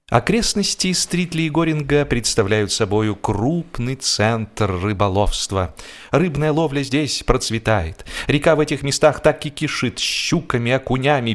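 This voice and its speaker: A man reads a humorous literary narration with expressive, wryly ironic storytelling, as in an audiobook. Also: mid-range voice. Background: music.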